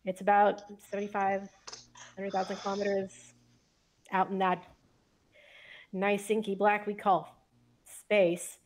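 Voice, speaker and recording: A woman's voice: mid-range voice, completely flat delivery, a little slow, pauses often. A woman playing a tabletop roleplay game, improvising her crew member's lines in loose, casual chat. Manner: informal, loose, swearing. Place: indoor room at home, on a video call.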